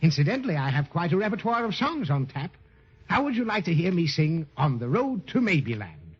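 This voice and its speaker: Pompous, husky crocodile, swooping theatrically. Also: male voice, on the high side, sing-song. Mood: proud, chatty. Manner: theatrical, grandiose, drawn-out delivery. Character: pompous, jovial male crocodile who loves to sing. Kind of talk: 1930s children's radio serial acting. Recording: muffled vintage 1930s radio recording.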